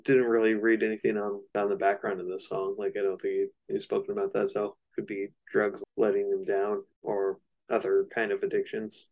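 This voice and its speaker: A man's voice: lowish voice, flat delivery, brisk, few pauses, darkish tone, rough recording. A man co-hosting a casual album discussion, explaining things in a loose, slightly hesitant way. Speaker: podcast co-host.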